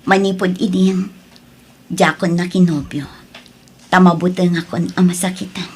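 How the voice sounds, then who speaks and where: A woman playing an affectionate, gentle schoolteacher in an audio drama, tender and coaxing, with a Filipino accent and a husky voice. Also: on the low side, level tone, moderate pace. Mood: confiding, tender.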